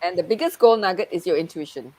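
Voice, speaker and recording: A woman's voice: on the low side, animated, quick pace, a little mellow, poor audio. A woman who grew up in Malaysia, a coach talking in a casual, reflective way and often saying "you know". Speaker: NLP coach. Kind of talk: casual conversation.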